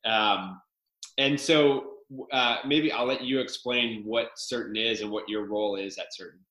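A man's voice: fairly low pitch, measured pace, sharp tone, lo-fi sound. A man hosting a podcast interview, upbeat and enthusiastic, with loose filler-filled questions. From Canada. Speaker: podcast host. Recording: echoey room.

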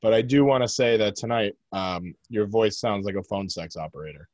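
A man's voice: on the low side, brisk, a little mellow, no room sound, dull audio. A young man co-hosting a casual sports podcast, blunt and joking, pushing strong opinions. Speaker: podcast co-host.

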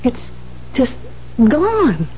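High-voiced, anxious, needy wife. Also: female voice, huge pitch swings, husky, heavily acted. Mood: frightened. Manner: halting, dramatic. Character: anxious, needy wife fearing she is vanishing. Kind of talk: 1970s radio drama acting. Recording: dull 1970s radio recording, faint hum.

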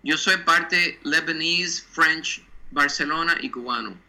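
A man's voice: a little high, takes it slowly, pushed and bright, low-quality recording. A man in his sixties from Cuba, a guest designer talking thoughtfully and dropping English words into his Spanish. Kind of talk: casual interview talk.

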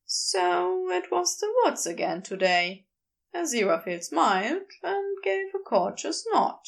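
A woman reading a story aloud as a narrator, in expressive scripted narration. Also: high voice, measured pace, creaky. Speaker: podfic narrator.

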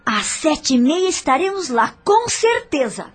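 An actress with a Brazilian accent playing a grateful, resolute housewife in a radio soap, speaking in an earnest, heartfelt way. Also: female voice, sits high, very animated, unhurried. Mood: determined. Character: grateful, resolute stepmother, a worried younger housewife. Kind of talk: radio soap opera acting.

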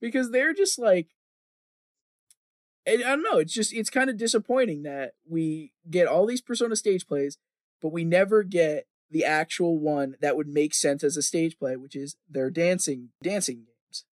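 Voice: mid-range voice, expressive, normal speed, no room sound. A podcast host chatting casually in an opinionated ramble, emphatic and sweary, often repeating phrases for emphasis.

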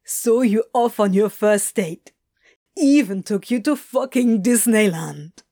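A woman voicing a fierce, protective parent who is gruff but tender, speaking emphatically in an audiobook story. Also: medium pitch, lively intonation, slow pace, warm tone. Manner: emphatic, sweary, repeats words.

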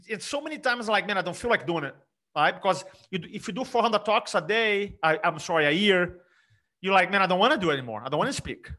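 A middle-aged man from Brazil with a Brazilian Portuguese accent, an energetic speaking coach talking emphatically in an interview. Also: high-pitched, fast talker. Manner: energetic, emphatic, frequent restarts. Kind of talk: conversational interview.

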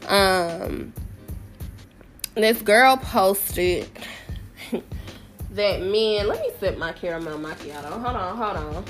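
Young woman podcast host, nasal and a bit sick, easygoing and playful, mid-pitched voice. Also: takes it slowly, long pauses, soft background music. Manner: chatty, playful, self-deprecating, slangy asides. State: sick with a summer cold. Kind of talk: casual solo podcast chat.

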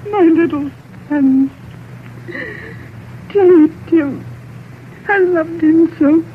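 A woman's voice: very high voice, drawn-out words, full character voice. An actress playing a tender, grieving mother, tearful, in a vintage radio drama. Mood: grief-stricken. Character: grieving, tender mother mourning her little son. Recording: muffled, noisy vintage radio broadcast.

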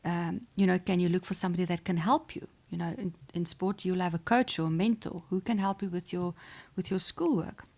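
A woman's voice: lowish voice, brisk. A woman, an Afrikaans speaker, answering interview questions as a chess player and sports psychologist in an earnest, encouraging way. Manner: earnest, encouraging, with small restarts and soft laughs.

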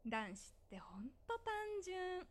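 A woman acting an exasperated, bossy and teasing high school girl in a Japanese voice drama, with an emphatic scolding manner. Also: very animated, takes it slowly, full character voice. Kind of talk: Japanese voice drama phone-call dialogue.

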